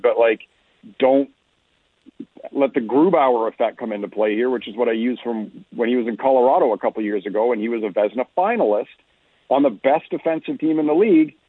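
A man talks sports as a radio guest over a narrow, phone-like connection. His voice is husky and very dry, with a mid pitch. He explains things conversationally and leans on key points for emphasis. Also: dry recording. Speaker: hockey analyst guest. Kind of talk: radio sports interview analysis. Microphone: remote phone-like connection. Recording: narrow phone-like line, muffled detail.

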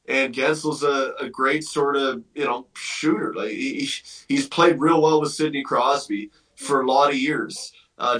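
A man's voice: mid-range voice, pushed and bright, far from the mic, poor audio. A man giving emphatic sports commentary as a guest analyst, thinking aloud and repeating phrases as he builds his point.

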